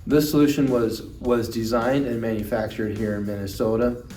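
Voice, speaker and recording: A man's voice: mid-range voice, fairly even intonation, moderate pace, mellow. A man giving an instructional product demonstration, explaining things plainly and step by step. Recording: roomy echo, distant mic, music underneath.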